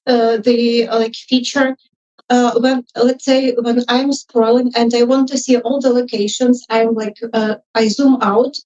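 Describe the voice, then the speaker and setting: Woman, non-native English speaker, highish pitch, tentative, informal audience feedback, distant muffled recording. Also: flat delivery, measured pace, a little mellow. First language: not English. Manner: hesitant, tentative, apologetic. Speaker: audience member, junior UX designer. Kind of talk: informal spoken feedback and questions. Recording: muffled live event audio, distant mic.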